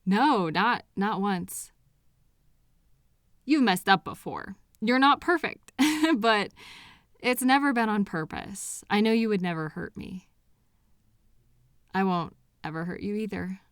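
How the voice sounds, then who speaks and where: A woman's voice: medium pitch, expressive, fairly bright tone, clean tone, no echo, studio quality. A woman playing a loving, patient girlfriend in an intimate comfort roleplay, speaking to her anxious partner in a tender, reassuring manner. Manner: tender, reassuring, unhurried pauses with sighs. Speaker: audio roleplay performer.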